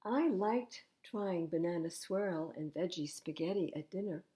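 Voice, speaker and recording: An older woman reading picture books aloud as a library storytime host, in an expressive, animated manner. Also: fairly low pitch, unhurried. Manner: animated, acting out dialogue.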